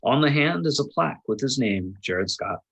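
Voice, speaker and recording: A man reads out screenplay action lines as the narrator of a table read. His voice is middling in pitch with a husky grain. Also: lively intonation, normal speed, fairly bright tone. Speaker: narrator reading stage directions.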